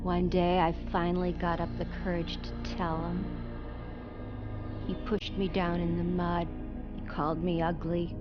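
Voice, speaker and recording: Actress playing a lonely deranged lovelorn woman, loud music. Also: on the low side, level tone, a little slow, dull audio, lightly acted. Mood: wistful. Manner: wistful, self-pitying, vain storytelling. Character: lonely deranged woman obsessed with finding true love. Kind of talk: dramatic scripted game cutscene narration.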